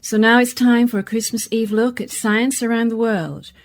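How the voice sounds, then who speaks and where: An actress playing a formal radio continuity announcer giving scripted programme introductions in a radio play. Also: female voice, fairly high pitch, steady tone, normal speed, close to an everyday voice. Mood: formal, welcoming.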